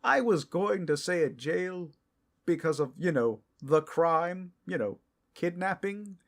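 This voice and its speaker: A man's voice: fairly high pitch, expressive, slow pace. A man playing a self-assured, well-meaning but blundering superhero in a comedic audio drama, with heroic bluster that slides into casual, earnest rambling. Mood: earnest scolding.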